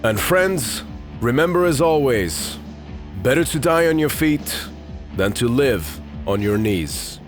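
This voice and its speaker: A man's voice: mid-range voice, very animated, takes it slowly, music in the background. Iranian-born man hosting a podcast. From Iran. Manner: candid, rambling with fillers. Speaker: podcast host. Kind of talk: casual solo podcast talk.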